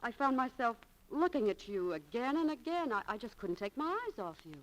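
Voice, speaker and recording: A woman's voice: fairly high pitch, sing-song, a little fast, low-quality recording. An actress playing a candid, romantic young shopgirl in a 1940s radio drama, breezy and confiding. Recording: vintage 1940s radio recording.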